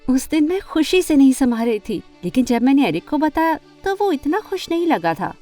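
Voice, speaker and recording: A woman voicing an earnest, emotional teenage girl who narrates and acts out her animated story dramatically. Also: high-pitched, moderate pace, soft background music. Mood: wistful narrating. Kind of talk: first-person animated story narration and dialogue.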